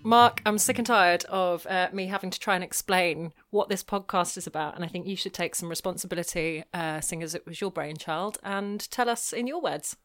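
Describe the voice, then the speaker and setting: A woman's voice: fairly low pitch, normal speed, hardly any pauses, a bit bright, faint music behind. Woman, casual podcast co-host. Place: small homemade recording studio.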